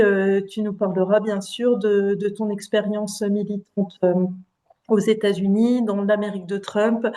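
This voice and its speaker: Relaxed woman hosting an online talk. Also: medium pitch. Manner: relaxed, filler-heavy hosting. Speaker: host.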